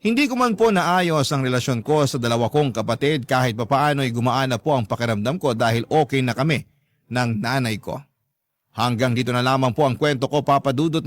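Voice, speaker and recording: A man's voice: medium pitch, fairly quick, no echo, studio quality, natural voice. A young man with a Filipino accent voicing a forgiving letter sender, narrating his family story politely and sincerely. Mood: grateful. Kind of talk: dramatized first-person narration.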